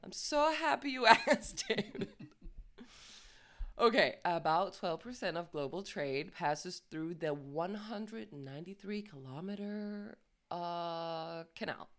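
A woman's voice: lowish voice, expressive, takes it slowly, fairly bright tone. A woman co-hosting a podcast, reading news casually, stumbling over words, swearing and laughing often. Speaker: podcast co-host.

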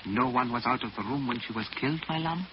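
Through clear hiss and a dull old radio sound comes a man acting a Tibetan disciple. He is humble, sage and reverent, speaking formally at a middling pitch. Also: fast, close to an everyday voice. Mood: reverent, thoughtful. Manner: formal, proverb-laden, reverent. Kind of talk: old-time radio drama acting and narration.

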